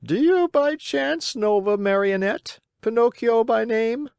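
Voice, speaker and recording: A narrator playing a large, kindly pigeon in a children's storybook, calm and helpful with a measured delivery. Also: mid-range voice, gravelly, clean tone, no echo, clean studio sound, pitched above the performer's own voice. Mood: curious. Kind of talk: children's storybook character reading.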